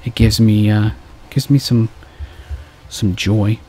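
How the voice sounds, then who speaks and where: A man's voice: fairly low pitch, steady tone, slow pace, dark tone, slightly rough. A man hosting a loose, rambling late-night show, swearing casually as he chats and reads a novel aloud. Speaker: podcast host. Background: faint background music.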